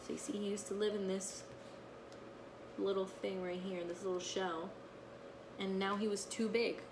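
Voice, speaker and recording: A woman's voice: medium pitch, level tone, normal speed. A woman with a middle-range voice reads and chats to a class of children. She explains in simple, casual phrases and often tags them with a checking question. The recording carries noticeable ambient noise. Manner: frequent checking tags like okay and right. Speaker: teacher reading a picture book aloud to her pupils.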